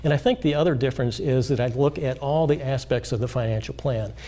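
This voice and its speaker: Man, interview guest, mellow dark voice, measured explaining, frequent fillers, school studio. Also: medium pitch. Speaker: financial planner guest. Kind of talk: interview answers.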